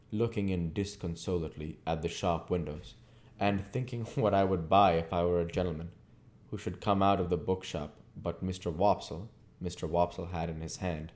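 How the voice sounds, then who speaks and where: A man's voice: deep voice, a little mellow. A man reading a classic novel aloud as narrator, now and then stumbling over words and correcting himself. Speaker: audiobook reader.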